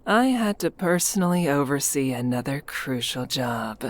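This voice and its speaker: An actor playing a cold, mocking town official who hunts a werewolf in a dramatic visual novel, sardonic and taunting, over music. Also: male voice, mid-range voice, big pitch swings, normal speed, natural voice. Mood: mocking, menacing. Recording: music under the voice.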